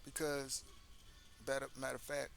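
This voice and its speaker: A man's voice: mid-range voice, monotone, normal speed, creaky, a bit bright, soft background music. A man hosting a casual commentary show, speaking loosely with plenty of fillers as he sums things up for his viewers. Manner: loose, filler-heavy talk with lots of "you know". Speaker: host.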